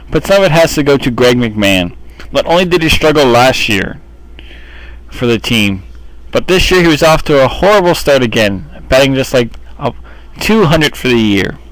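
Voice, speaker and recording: A man's voice: mid-range voice, no room sound, low-quality recording. A man delivering baseball team commentary in the manner of an announcer addressing his audience. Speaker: sports newsreel commentator.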